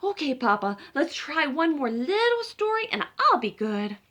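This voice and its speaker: Woman voicing an excitable, high-pitched little chicken girl. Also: normal speed. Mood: pleading. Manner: pleading, excitable, interrupting. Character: eager little red chicken girl at bedtime.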